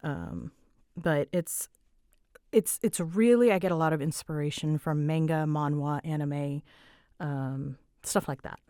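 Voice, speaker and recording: A woman with a slightly low voice talks informally, as a creator answering questions from listeners. She rambles in a self-deprecating, conversational way. The recording is dry, with very little room sound. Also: unhurried, dark tone, dry recording. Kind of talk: casual rambling Q&A chat.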